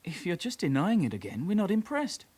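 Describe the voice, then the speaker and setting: An actor playing a young British detective constable in a radio drama, a calm sidekick with a measured, official way of talking. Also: male voice, high voice, breathy edge, exaggerated voice. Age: young adult.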